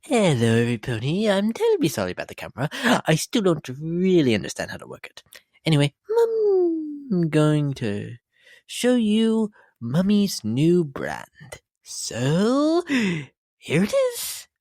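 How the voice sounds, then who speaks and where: Grim pony lady, flirtatious, affected, unhinged, hugely swooping pitch, close dry sound. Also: huge pitch swings, unhurried, darkish tone, no echo, exaggerated voice. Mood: coy, excited. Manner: affected, dramatic, drawling endearments like "darling". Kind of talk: dramatic reading of fan comic.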